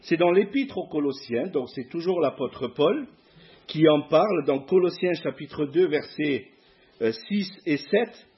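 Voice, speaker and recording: A middle-aged man with a southern French accent, a guest preacher giving a sermon in church, hesitant with many pauses. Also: on the high side, animated, lo-fi sound. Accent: southern French (Provence). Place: church hall before a masked congregation.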